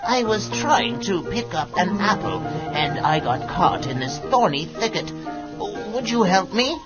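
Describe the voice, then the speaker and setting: Woman voicing a small earnest storybook animal, loud music behind. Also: mid-range voice, animated, measured pace, close to an everyday voice, poor audio. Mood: pleading. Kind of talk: children's storybook character acting.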